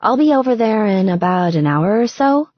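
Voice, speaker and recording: A woman voicing a calm, soothing woman with dry humor in an audiobook, in a measured way. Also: mid-range voice, no room sound, muffled recording, voice pushed up, close to an everyday voice. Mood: friendly. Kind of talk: audiobook character dialogue.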